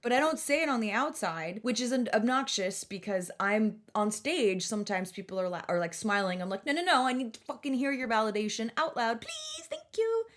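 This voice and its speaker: A woman's voice: fairly high pitch, big pitch swings, brisk, few pauses, fairly bright tone. A woman podcast co-host and comic chatting casually in slang, swearing freely as she banters.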